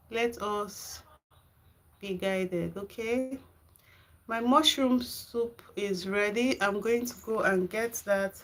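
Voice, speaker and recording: A Nigerian woman hosting a gardening live stream, chatting casually with viewers and answering their comments. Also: mid-range voice. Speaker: gardening channel host. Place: home garden and kitchen.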